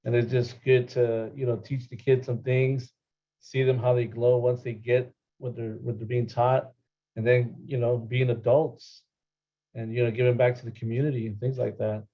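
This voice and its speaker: A man's voice: medium pitch, level tone, moderate pace, highs cut off. A middle-aged man from Los Angeles answering conversationally as a panel guest. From Los Angeles, California. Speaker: panel guest, animal services worker.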